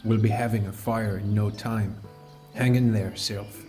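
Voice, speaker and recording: Fantasy audiobook lead, male actor: caring mentor adventurer, earnest, measured narration, dark warm tone, faint music behind. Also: fairly low pitch, fairly even intonation, close to an everyday voice. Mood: calm, caring. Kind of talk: scripted first-person audiobook narration.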